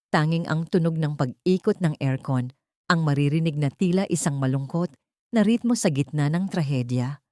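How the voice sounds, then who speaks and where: A woman's voice: low voice, warm tone, no room sound, clean studio sound. A woman reading a scripted dramatic story as its narrator.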